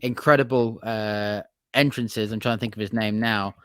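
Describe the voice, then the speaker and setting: A man hosting a wrestling news podcast, talking in a rambling, halting way with plenty of fillers. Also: lowish voice, fairly even intonation, a bit bright, clean tone, dry recording. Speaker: podcast host. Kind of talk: casual news chat.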